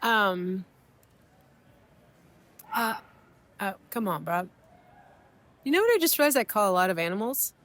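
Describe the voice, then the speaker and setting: A woman's voice: mid-range voice, very animated, unhurried, pauses often, a bit bright. Reflective Mexican-born woman chatting. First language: Spanish. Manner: meandering, reflective, with many fillers and restarts. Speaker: podcast co-host. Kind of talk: casual chat. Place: airport waiting area.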